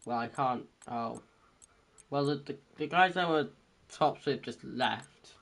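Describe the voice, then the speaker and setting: A man's voice: mid-range voice, measured pace, vocal fry, a bit bright. A man hosting a casual stream with a cold, talking in a rambling, unsure way with many restarts. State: has a cold, a bit congested. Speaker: stream host. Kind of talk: casual chat.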